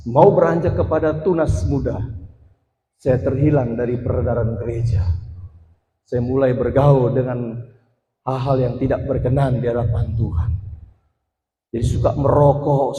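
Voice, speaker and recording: A man's voice: medium pitch, warm tone, slight background noise. A man in his forties giving a personal testimony as a sermon to a congregation, addressing them directly and building to emphatic passages. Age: 40s. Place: church. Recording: echoey hall with long reverb.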